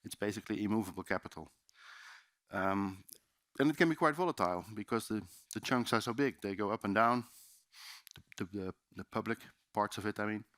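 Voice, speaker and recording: A man's voice: lowish voice, fairly quick, fairly bright tone, no echo. A man with a Dutch accent giving a loose, chatty seminar presentation in a conference room, with many fillers and restarts. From Netherlands. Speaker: conference speaker.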